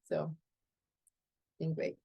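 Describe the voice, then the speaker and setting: A woman in her fifties from Costa Rica, speaking English with a Costa Rican accent as an interview guest, answering haltingly with many fillers and restarts. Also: mellow, no room sound. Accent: Costa Rican Spanish. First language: Spanish. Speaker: interview guest, space agency executive.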